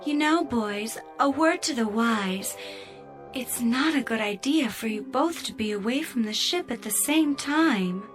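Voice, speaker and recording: A woman's voice: fairly high pitch, expressive, a little slow, soft background music. An actress playing a sweet, needy woman's voice left alone aboard a spaceship in a radio drama, coaxing and mildly scolding. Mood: coaxing, plaintive.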